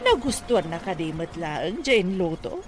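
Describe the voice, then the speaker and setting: A woman's voice: lowish voice, big pitch swings, normal speed, loud music behind the voice. A woman playing a scheming mother in an Ilocano radio comedy-drama. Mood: nosy, exasperated. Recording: noisy radio drama recording.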